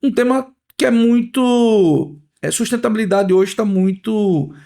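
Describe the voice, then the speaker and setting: High-pitched gravelly guy hosting a podcast, jokey and chatty. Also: unhurried, warm tone. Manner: chatty, jokey, leading questions. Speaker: podcast host. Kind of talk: casual podcast interview.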